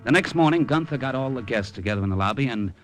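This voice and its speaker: An actor playing a cynical, tough-talking American adventurer who narrates in a wry, slangy, clipped radio drama style. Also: male voice, medium pitch, animated, fast, lightly acted. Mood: sardonic. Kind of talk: 1950s radio drama acting, hard-boiled narration. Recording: old radio recording with music behind.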